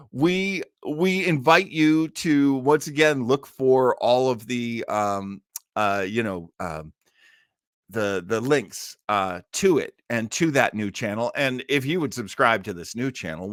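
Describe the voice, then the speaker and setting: A man's voice: medium pitch, fairly bright tone, no room sound, clean studio sound. A man hosting an online show, chatting casually in a rambling, folksy, enthusiastic way as he signs off to viewers. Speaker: host of an online listening-party show. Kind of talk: casual livestream sign-off chat.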